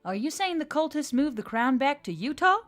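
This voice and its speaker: A woman's voice: sits high, very animated, moderate pace, a bit bright, soft background music, pitched above the performer's own voice. A woman playing a flippant, sarcastic young female adventurer in a fantasy audiobook, blunt and teasing. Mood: skeptical. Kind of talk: scripted audiobook character acting.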